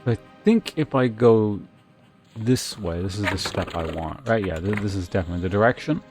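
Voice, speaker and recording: Low-pitched man casually narrating his gameplay. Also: moderate pace, darkish tone, faint music behind. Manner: thinking aloud, muttering to himself. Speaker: video game streamer. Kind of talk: casual live game commentary.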